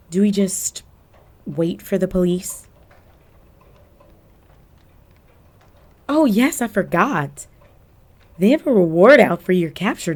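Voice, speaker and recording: A woman's voice: fairly low pitch, darkish tone, lightly acted. A woman playing a flirty, cunning cat burglar villain in a roleplay audio drama, speaking coyly and teasingly to the listener. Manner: coy, teasing, drawn-out phrasing with terms of endearment.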